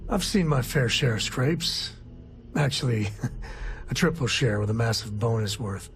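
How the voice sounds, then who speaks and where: A man's voice: mid-range voice, expressive, measured pace, soft background music. A man playing an easygoing, folksy space explorer and single father in a video game. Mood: easygoing, reflective. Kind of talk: video game character dialogue.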